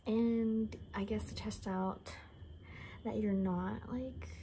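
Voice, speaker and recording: A young woman talks in a hushed, breathy whisper with a mellow, relaxed tone. She rambles casually through an ASMR roleplay, a little sardonic in her delivery. Faint room noise sits behind her voice. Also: medium pitch, takes it slowly. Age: young adult. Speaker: ASMR creator playing a caretaker. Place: small home bedroom, indoors.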